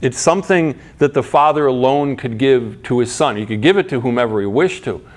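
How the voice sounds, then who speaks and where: A man's voice: medium pitch, brisk. Middle-aged man preaching conversationally. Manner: conversational preaching with frequent restarts and rhetorical questions. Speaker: pastor. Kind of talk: sermon.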